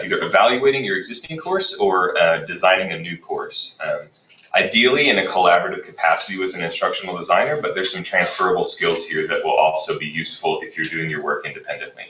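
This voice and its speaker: A man leading a workshop, a little raspy from a cold, talking in a relaxed conversational way with fillers. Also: low voice. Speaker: workshop presenter, instructional design manager. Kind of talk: workshop presentation. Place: classroom or meeting room. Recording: echoey room, voice from far off.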